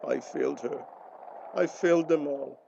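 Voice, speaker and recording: An actor playing a guilt-ridden, worried older grandfather in a screen drama, halting and repeating himself, with a Belizean accent. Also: a little high, vocal fry, highs cut off, noisy background. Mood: guilt-ridden anguish. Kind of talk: acted screen drama dialogue.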